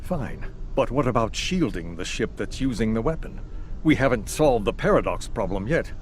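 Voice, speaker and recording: A man's voice: medium pitch, lively intonation, normal speed, a little hiss or hum, lightly acted. An actor playing a skeptical, grumbling male researcher in a science fiction game, sardonic and distrustful. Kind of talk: scripted game dialogue.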